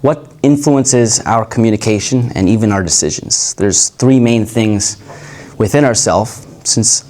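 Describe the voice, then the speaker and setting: Relaxed male coach leading a workshop. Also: on the low side, measured pace, hardly any pauses. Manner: relaxed, rambling, self-correcting. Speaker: communication coach. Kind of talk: live workshop talk.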